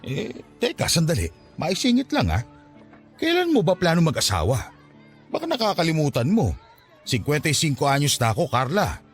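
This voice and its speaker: A man acting a devout, wise, gently nagging father in his fifties in a Filipino radio drama, speaking in a fatherly, reassuring way with a Filipino accent. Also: a little high, huge pitch swings, normal speed, slightly rough, soft background music, heavily acted. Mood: nagging, exasperated. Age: 50s.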